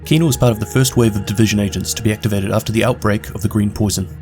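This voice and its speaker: A man with a New Zealand accent narrating a scripted lore recap in a brisk, steady delivery. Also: lowish voice, faint music behind. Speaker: video game lore narrator.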